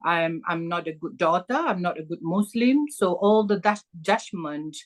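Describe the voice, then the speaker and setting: A middle-aged woman from Malaysia talks with a Malaysian accent. Her voice sits low and her pace is slow. She speaks in halting stretches, filling gaps with small sounds and starting phrases over. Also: lively intonation. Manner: halting, many fillers and restarts. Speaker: podcast guest. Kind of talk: personal story in an interview.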